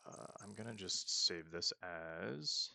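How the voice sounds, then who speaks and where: A man's voice: deep voice, expressive, takes it slowly, gravelly, pushed and bright, no room sound, clean studio sound. A young man casually commenting on a roleplaying game as he plays, thinking aloud and joking at his own mistakes. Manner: thinking aloud, chatty and self-mocking. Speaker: let's-play host.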